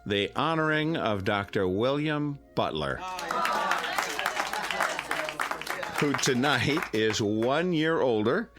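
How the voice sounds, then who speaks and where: An American actor playing a genial, sentimental middle-aged neighbor who makes a halting, teasing after-dinner speech in a radio drama. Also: male voice, mid-range voice, lively intonation, loud music behind the voice, over a noisy crowd, natural voice. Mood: warm teasing.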